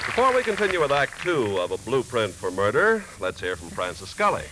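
A man's voice: medium pitch, huge pitch swings, fairly quick. A man announcing in the scripted promotional style of 1950s radio. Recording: hissy 1950s radio recording.

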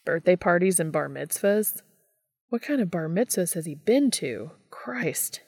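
A charming young man, calm and sure of himself, as a woman reading a story puts him on. The tone is low and a little gravelly, recorded close and dry. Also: measured pace, no room sound, full character voice. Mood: easygoing. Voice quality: easygoing and self-assured.

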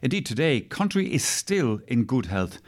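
Male interviewer, mid-pitched and very varied in intonation, conversational presenting. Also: big pitch swings, moderate pace. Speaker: interviewer, host. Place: hotel in Ireland.

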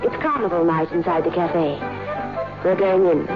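An actor playing a mysterious, commanding tempter in a radio horror drama, speaking in a terse, teasing, imperious way. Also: male voice, fairly high pitch, normal speed, close to an everyday voice. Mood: imperious, enigmatic. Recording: lo-fi old radio broadcast with music.

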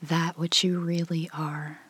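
Slow, breathy woman guiding meditation. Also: low voice, level tone. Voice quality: soft, breathy, often near whisper. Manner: unhurried, gentle, with long pauses. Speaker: meditation guide. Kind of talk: guided meditation, slow calm instruction.

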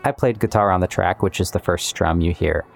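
A man, a musician and composer, talking reflectively and in measured phrases about his work. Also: low-pitched, gravelly. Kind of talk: reflective interview monologue about making music. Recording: music playing behind the voice. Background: guitar-led instrumental music.

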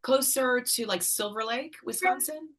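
A woman's voice: fairly high pitch, unhurried, fairly bright tone. A middle-aged woman from northern Illinois, talking candidly and casually as a podcast guest, laughing often and swearing freely. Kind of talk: casual conversation.

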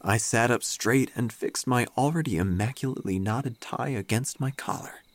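A man playing a wry, melancholy small-town obituary writer, narrating an audio drama in measured, formal phrasing. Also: mid-range voice, lightly acted. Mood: solemn, wistful.

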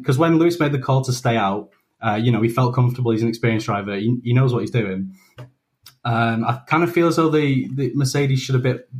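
A man with an ordinary mid-range voice talks as a podcast guest. He weighs his points slowly and hedges, often circling back to restart. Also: mid-range voice, a little mellow. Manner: thoughtful, hesitant, restarts often. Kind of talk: casual chat.

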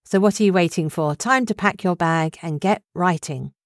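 Low-pitched woman hosting a podcast. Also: moderate pace. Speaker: podcast host. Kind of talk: podcast introduction and interview.

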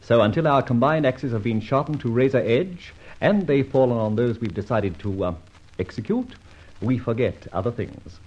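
A man playing a suave, mocking gentleman thief in an old radio drama, ironic and amused. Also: mid-range voice, expressive, moderate pace, breathy edge. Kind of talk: 1940s radio acting. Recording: dull lo-fi old radio recording.

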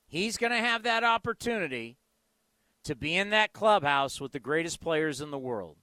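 A man with a high, clear voice hosts a sports radio show, talking in an impassioned, emphatic, conversational way. The sound is dry and close. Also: lively intonation, normal speed, sharp tone, no room sound.

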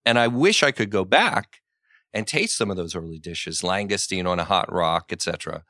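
Husky man fighting a cold. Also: low voice, moderate pace, no room sound, studio quality. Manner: rambling, self-deprecating, animated. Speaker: guest, food journalist. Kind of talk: casual podcast conversation.

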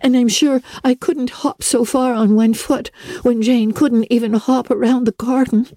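A woman's voice: fairly high pitch, fairly even intonation, measured pace, dark tone, dry recording, voice pushed up. Woman doing a theatrical, imaginative young girl. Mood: pained. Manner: solemn, theatrical.

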